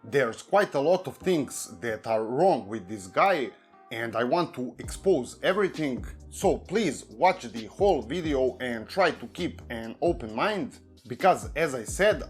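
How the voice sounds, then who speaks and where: A man with a Serbian accent reads a prepared commentary script, steady and measured, at a middling pitch. Soft music plays faintly underneath his voice. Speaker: YouTube commentary host. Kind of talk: scripted commentary read aloud.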